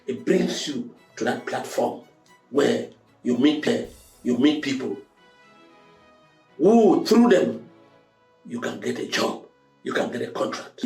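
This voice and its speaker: A man's voice: mid-range voice, darkish tone. A man giving a motivational monologue to his audience in an emphatic, preacherly way, repeating phrases to drive points home. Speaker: host of a motivational talk show. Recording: roomy echo, music underneath.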